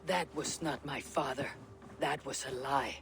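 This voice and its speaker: A woman's voice: a bass voice, steady tone, a little slow, creaky, fairly bright tone, slight background noise, full character voice. A woman playing a grim, searching young Viking warrior in a dramatic game cutscene. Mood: grim, accusing.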